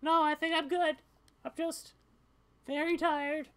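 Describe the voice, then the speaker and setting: A woman's voice: high-pitched, steady tone, a little slow. A woman voicing a tired, sarcastic young female cat in a casual, rambling way for a game. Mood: weary. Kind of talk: fan voice-over of game dialogue.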